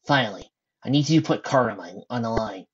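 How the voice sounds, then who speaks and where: A man's voice: medium pitch, lively intonation, fast, breathy edge. A man voicing a bossy, tough female pony guardian in a sarcastic, blunt way, on a dull lo-fi recording.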